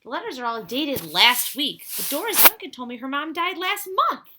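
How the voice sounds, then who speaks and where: A woman's voice: sits high, lively intonation, moderate pace, very noisy, voice pushed up. A woman voicing an earnest, easily exasperated boy sleuth in a children's storybook, with emphatic, indignant delivery. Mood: puzzled.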